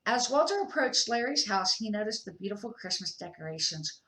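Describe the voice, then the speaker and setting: An older woman reads a children's storybook aloud. She is a grandmother with an expressive, unpolished delivery and a medium-pitched voice. The room around her is clearly echoey. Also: brisk.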